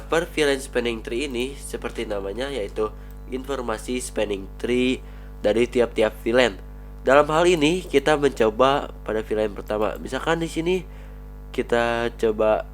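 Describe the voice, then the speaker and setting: A man with a cold talks viewers through network switch commands as he types them in a screen-recorded lesson. His pitch sits in the middle range. Faint music plays behind him. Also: unhurried. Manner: addresses viewers as friends, talks while typing commands. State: has a cold. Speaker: networking instructor.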